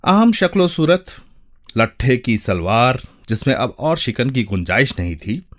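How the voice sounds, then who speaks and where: A man narrating a literary story in a dramatic, expressive way, measured and pausing between phrases. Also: mid-range voice.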